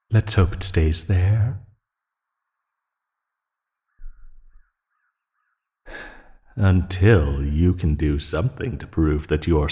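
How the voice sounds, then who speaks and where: A man playing a teasing, menacing naga predator in an ASMR roleplay, condescending and taunting in his delivery. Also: sits low. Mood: mocking. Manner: slow, taunting, condescending, with soft chuckles and sighs. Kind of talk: ASMR roleplay audio drama. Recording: long hollow reverb.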